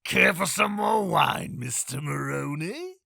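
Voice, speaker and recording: A gravelly, manic clown villain. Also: male voice, a little high, expressive, bright, forceful tone, a little hiss or hum, exaggerated voice. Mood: mocking menace. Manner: taunting, singsong, drawn-out delivery. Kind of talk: dramatized comic book villain acting.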